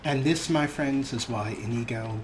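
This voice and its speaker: A man's voice: medium pitch, moderate pace, noisy background. A man giving casual let's play commentary as a gaming host, sometimes reading dialogue aloud.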